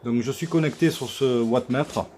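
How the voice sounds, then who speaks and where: Mellow-voiced man, halting, with background noise. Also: mid-range voice. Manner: hesitant, halting delivery with many hesitation sounds. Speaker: radio repair technician presenting his work. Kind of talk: informal equipment demonstration. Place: electronics workshop.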